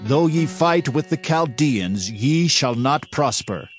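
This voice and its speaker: An indignant king in a Bible audio drama. He declaims with a very animated, medium-pitched voice and stresses each accusation. Music plays underneath. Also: slow pace. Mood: indignant, accusing. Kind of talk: dramatized scripture reading.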